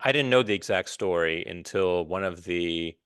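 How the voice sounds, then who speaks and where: A man with a low, smooth voice hosts a solo podcast, talking things through in a measured, reflective way. He pauses often and restarts his sentences. The sound is close and dry, with no room around it. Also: fairly bright tone, dry recording. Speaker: podcast host.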